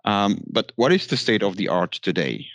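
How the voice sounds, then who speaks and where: Deep-voiced man hosting a podcast. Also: sing-song, normal speed, a bit bright, lo-fi sound. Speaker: podcast host. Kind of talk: corporate podcast interview.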